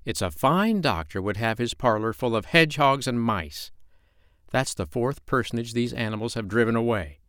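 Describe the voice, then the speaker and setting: An exasperated storybook sister acted out by a male narrator scolds in a raised pitch. Her delivery is emphatic and indignant, rising and falling sharply as she nags. The sound is close and dry. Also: a bit bright, clear, resonant tone, no room sound. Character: nagging, exasperated sister and housekeeper, adult woman.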